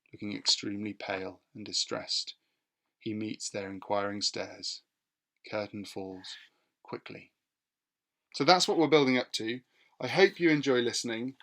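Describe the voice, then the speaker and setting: A man with a British accent speaking as a teacher and podcast host, explaining things in a measured, somewhat hesitant way. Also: fairly low pitch, fairly bright tone.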